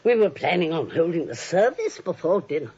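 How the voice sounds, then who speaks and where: A woman's voice: lowish voice, sing-song, a little fast, a little mellow, breathy edge. A woman playing a dainty, polite and fussy elderly aunt in a 1940s radio comedy. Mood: earnest, fussy. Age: older. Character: dainty, fluttery old spinster aunt. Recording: dull old radio drama recording.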